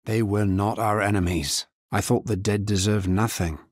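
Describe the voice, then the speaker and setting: An actor playing a grave, world-weary necromancer hero in a fantasy video game, speaking solemnly in measured, understated lines. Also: male voice, lowish voice, fairly even intonation, dry recording, studio quality, close to an everyday voice. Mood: somber, wary.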